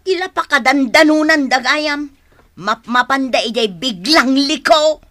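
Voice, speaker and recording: Quick-tempered Filipino radio-drama wife: high, forceful, sharp-tongued. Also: female voice, expressive. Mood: everyday, chatty. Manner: scolding, sharp. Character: bossy, quick-tempered wife who orders her husband around.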